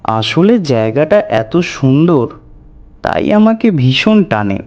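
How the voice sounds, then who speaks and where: A man narrating a scripted horror story in the first person, in a measured, deliberate way. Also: on the high side, very animated, a little mellow, dull audio. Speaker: storyteller narrator voicing a tantric recounting his past. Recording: music bed under the voice. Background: music.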